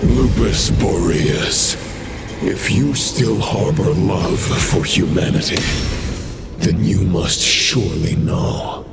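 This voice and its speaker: A world-weary fallen warrior, declaims like a doomed figure in a game. The voice is deep and creaky, extremely slow and solemn. Every phrase is grave and brooding. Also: male voice, full character voice. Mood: despairing, accusing. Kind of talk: theatrical video game monologue. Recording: loud music behind the voice. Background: loud game music.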